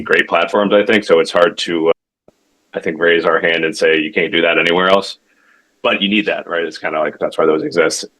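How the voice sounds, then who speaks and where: A man giving an informal product demo as a tech founder, talking in a halting, conversational way with self-corrections and frequent check-ins. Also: sits low, pushed and bright, rough recording. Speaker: tech company founder demonstrating his product.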